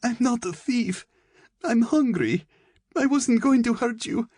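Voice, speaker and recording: A man's voice: sits low, big pitch swings, normal speed, husky, dry recording, clean studio sound, pitched above the performer's own voice, heavily acted. A man voicing a young girl thief in an audiobook, urgent and swinging from tough to pleading. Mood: terrified pleading. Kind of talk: audiobook character voice.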